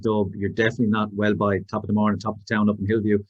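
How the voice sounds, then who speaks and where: Irish podcast host, waterford accent, low voice, slightly muffled lo-fi sound. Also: male voice, level tone, very fast. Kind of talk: casual podcast interview.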